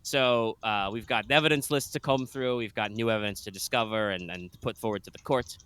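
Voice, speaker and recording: A man voicing a suave male career defense lawyer in a tabletop roleplaying game, smooth and wry. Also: on the low side, a little fast, a bit bright, close to an everyday voice. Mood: persuasive.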